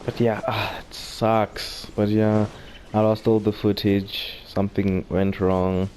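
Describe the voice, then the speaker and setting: A man's voice: lowish voice, monotone, takes it slowly, a little mellow, slight background noise. A man giving casual commentary as host of a gaming video.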